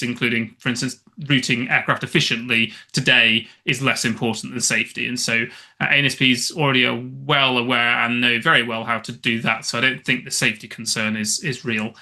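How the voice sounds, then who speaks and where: Male expert interviewee, very sharp bright tone, gravelly edges, halting unscripted explanations. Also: medium pitch. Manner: halting, restarts phrases often.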